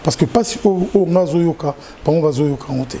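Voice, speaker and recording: Man, Congolese French accent, interview guest, mellow, halting and repetitive, slightly high. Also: a little hiss or hum. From Democratic Republic of the Congo. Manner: halting, repeats words, slow measured delivery. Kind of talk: casual interview chat.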